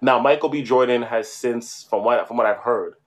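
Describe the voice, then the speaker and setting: A man's voice: mid-range voice, normal speed. A man co-hosting a casual chat, explaining at length and often adding "right?". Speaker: podcast co-host.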